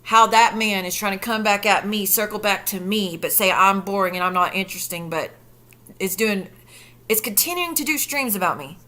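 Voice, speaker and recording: Bright-voiced sarcastic woman streamer. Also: medium pitch, moderate pace. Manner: sarcastic, profane, incredulous. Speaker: commentary streamer. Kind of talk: reaction commentary stream.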